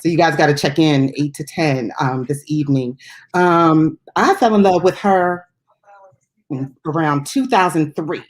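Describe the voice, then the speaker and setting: A woman's voice: low-pitched, unhurried. A woman co-hosting a casual music chat show as a DJ, talking in an informal, chatty and giggly way. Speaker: radio DJ and co-host.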